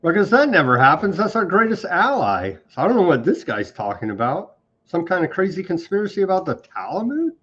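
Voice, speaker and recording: A man's voice: high-pitched, wildly swooping pitch, brisk, a bit bright, husky. A man hosting a political commentary show, talking in a sarcastic, conversational way. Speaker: host. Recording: dull lo-fi sound.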